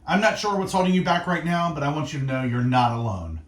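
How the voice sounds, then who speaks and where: Earnest male radio host, very expressive pitch. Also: reverberant. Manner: earnest, reflective, speaking in short deliberate phrases. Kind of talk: faith-based encouragement monologue on music radio. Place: radio studio.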